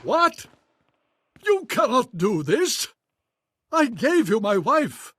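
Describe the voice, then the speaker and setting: An actor playing a proud nobleman baron in a video game, with a husky voice and a stately, dramatic, formal manner. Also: a little high, animated, measured pace. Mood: outraged.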